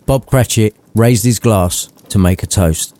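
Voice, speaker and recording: A man's voice: fairly low pitch, measured pace, warm tone, no room sound. A man narrating an irreverent audiobook story in a dramatic storytelling style.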